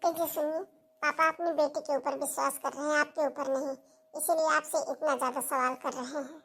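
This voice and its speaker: Spiteful young sister-in-law, played by a woman, nagging, very high voice, cartoon melodrama. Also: fairly even intonation, a little fast, a bit bright. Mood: insinuating. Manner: insinuating, nagging. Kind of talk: Hindi cartoon family melodrama.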